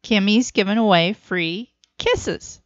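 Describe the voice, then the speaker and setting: A woman co-hosting a casual chat in terse, deadpan replies. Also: medium pitch, slow, no echo. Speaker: podcast co-host. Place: studio. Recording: dry, slightly dull lo-fi studio sound.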